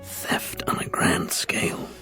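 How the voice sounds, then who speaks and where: An actor playing an anxious, sceptical society gentleman and father in a radio drama, formal and urgent in manner. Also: slow pace, whispered, soft background music. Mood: anxious, confiding.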